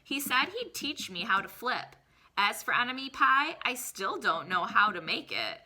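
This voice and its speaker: Woman, children's read-aloud, teacher, very bright tone, lively melodic intonation, explanatory. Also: a little high, unhurried, slight background noise. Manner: animated, explaining to young listeners with questions. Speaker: teacher reading a picture book aloud to children.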